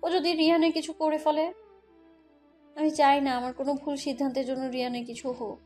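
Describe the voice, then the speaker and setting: A woman's voice: high-pitched, little pitch movement, fairly quick, a little mellow, faint music behind, natural voice. A woman playing a helpless young mother in a romantic audio story, pleading and submissive. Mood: resigned, worried.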